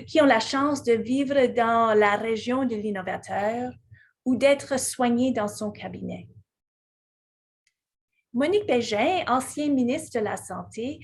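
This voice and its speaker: Brief: woman, slow keynote pace, slightly husky, higher-pitched voice. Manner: slow and deliberate, with small restarts. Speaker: conference speaker. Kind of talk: prepared keynote speech.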